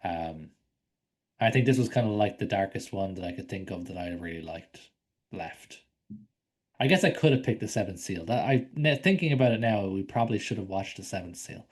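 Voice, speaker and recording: A man with a lowish voice talks through films on a casual podcast. He rambles along with plenty of ums and likes, and he swears freely. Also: expressive, fairly quick, breathy edge. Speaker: podcast co-host. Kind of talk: casual film discussion.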